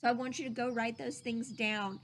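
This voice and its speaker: A woman reading a story aloud to children like a teacher, with expressive, dramatic emphasis. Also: on the high side, moderate pace.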